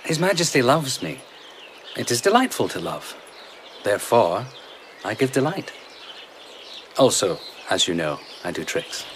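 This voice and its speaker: An actor playing a playful, unafraid trickster and court favourite, politely teasing and taunting in a radio drama. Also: male voice, mid-range voice, big pitch swings, measured pace, long pauses, a bit bright, slight background noise. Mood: silky, playful.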